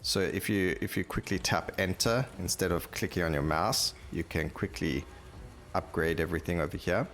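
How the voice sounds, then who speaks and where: A man's voice: low-pitched, moderate pace, fairly bright tone. A man giving casual gameplay commentary as a gaming video host, thinking aloud as he reads out stats on screen.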